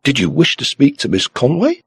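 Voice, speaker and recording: Man voicing a reserved, higher-pitched lady on the telephone. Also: huge pitch swings, moderate pace, vocal fry, slightly rough, dry recording, clean studio sound, exaggerated voice. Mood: polite, puzzled. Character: reserved, soft-spoken woman on a telephone, newly widowed.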